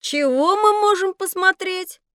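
A woman's voice: very high voice, a little slow, no room sound, clean studio sound, heavily acted. A woman playing an eager, inquisitive young boy who likes to show what he knows, in a scripted children's audio drama. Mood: eager, curious. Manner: eager, know-it-all, expressive. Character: bright inquisitive young boy on a metro tour.

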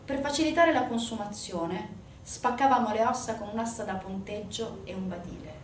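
A woman stage actress giving a grave, measured theatrical narration with dramatic pauses. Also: mid-range voice, slight background noise. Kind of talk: theatrical narration of a true crime story. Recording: echoey stage, distant mic, music.

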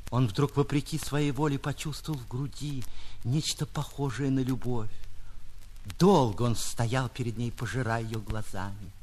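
A man narrating a literary short story like a stage actor, theatrical, with drawn-out emphasis and dramatic pauses. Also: mid-range voice, fairly even intonation, a little mellow.

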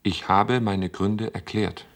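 An actor playing a calm, stubborn machine intelligence with a conscience, speaking slowly and deliberately in a radio play. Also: male voice, lowish voice. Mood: calmly defiant. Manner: slow, measured, deliberate. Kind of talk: German radio play acting.

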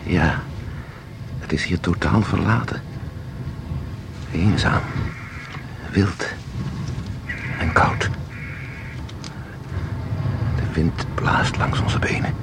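An actor in a radio play playing a commanding guide who speaks slowly and deliberately, hypnotically luring someone on with evocative instructions. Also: male voice, low-pitched, gravelly, noisy background, full character voice. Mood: brooding, evocative.

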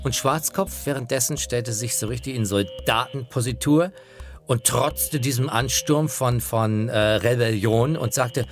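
Male storyteller, medium pitch, animated anecdotal delivery with acted quotes, music underneath. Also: a little slow. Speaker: narrator telling anecdotes about opera singers.

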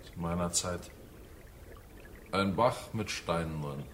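A man's voice: low voice, slow. An actor in a German radio drama playing a laconic, nostalgic father of forty-one, musing in short remarks.

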